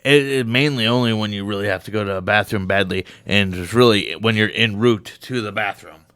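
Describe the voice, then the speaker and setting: A hoarse man co-hosting a podcast, joking goofily and speaking in halting bursts in casual chat. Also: on the low side, fairly bright tone, no echo, clean studio sound. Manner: playful, halting, goofy jokes. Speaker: podcast co-host.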